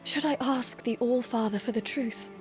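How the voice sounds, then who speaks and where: A woman playing a proud, stern Valkyrie warrior in a fantasy video game, speaking in formal, measured phrases. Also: fairly high pitch, natural voice. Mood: troubled, doubting. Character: solemn, proud young female Valkyrie warrior, dutiful and stern. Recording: loud orchestral music behind voice.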